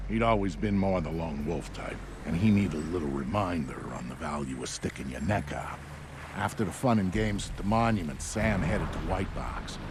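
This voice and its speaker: Very deep-voiced male veteran narrator. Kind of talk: scripted dramatic game narration. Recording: clear noise under the voice.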